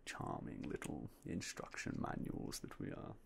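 A young man whispering softly and politely as a game shop clerk in an ASMR roleplay, close to a binaural mic. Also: unhurried, a little hiss or hum. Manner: quiet, whispery, polite shopkeeper patter.

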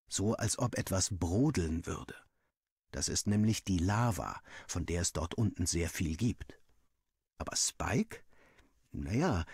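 A man's voice: fairly low pitch, darkish tone, breathy edge, dry recording, studio quality, exaggerated voice. A man playing a young student villager who narrates his diary in a wry, dramatic, self-mocking way, as a scripted game story. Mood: storytelling.

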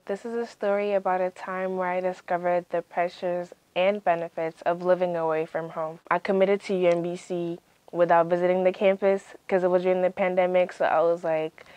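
A young woman performing a personal monologue in an even, matter-of-fact way. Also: lowish voice, dry recording, lo-fi sound. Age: young adult. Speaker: student storyteller.

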